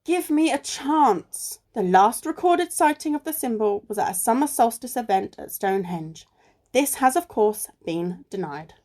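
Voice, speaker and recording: A fairly high-voiced, level-headed female sleuth from an amateur radio play, acted by a woman. She is clever and quick to stand her ground, and speaks in a measured, deliberate way. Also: expressive, a little mellow. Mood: focused. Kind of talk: amateur radio play acting, often reading articles aloud. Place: recorded alone at home.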